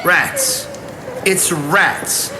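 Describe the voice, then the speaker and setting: A woman's voice: on the low side, wildly swooping pitch, extremely slow, noisy background, heavily acted. A woman playing a crude, irritable kidnapper thug in an audio drama, coarse and swaggering. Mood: irritated.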